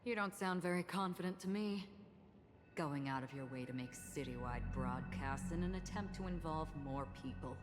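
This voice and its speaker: A long hall reverb and loud music surround the voice. It is an actress as an enigmatic, stoic female warrior in a video game, pitched very low for a woman. She speaks solemnly, with formal, deliberate weight. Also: lightly acted. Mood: cool, knowing. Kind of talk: scripted dramatic game dialogue.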